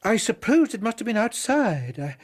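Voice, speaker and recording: High-voiced, flustered Victorian newspaperman. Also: big pitch swings, normal speed, slightly rough, full character voice. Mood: shaken. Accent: English. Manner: fussy, hesitant, agitated. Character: flustered, self-important Victorian newspaper journalist, shaken. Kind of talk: radio drama acting.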